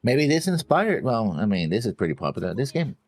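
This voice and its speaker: A man's voice: mid-range voice, sing-song, a little fast, no echo. A man co-hosting a reaction show, chatting casually about what he is watching.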